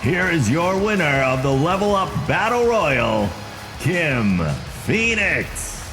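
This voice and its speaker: A man giving excitable wrestling play-by-play commentary for a video game league, joking about himself between calls. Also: on the high side, takes it slowly, over music. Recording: game crowd and noise behind the voice. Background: video game arena crowd.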